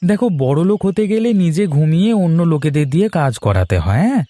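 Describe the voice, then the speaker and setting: A man's voice: on the high side, very animated, fairly quick, dark tone, dry recording. Man playing a lazy, smug cartoon son. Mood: smug, argumentative. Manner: smug, argumentative. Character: lazy, boastful grown son dreaming of effortless riches. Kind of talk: cartoon dialogue acting.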